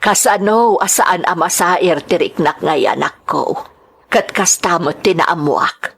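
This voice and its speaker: A woman's voice: lowish voice, takes it slowly, creaky, fairly bright tone, breathy edge, full character voice. A woman acting an anguished, protective older mother in a radio-style melodrama, questioning plaintively with an Ilocano accent. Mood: troubled, questioning.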